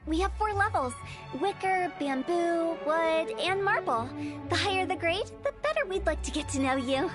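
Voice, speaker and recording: A woman's voice: very high-pitched, animated, moderate pace. A woman voicing a quirky, playful teenage girl funeral director in a video game, glib and theatrical with a morbid cheer. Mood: teasing. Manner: theatrical, glib sales patter. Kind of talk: animated video game voice acting. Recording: game music behind the voice.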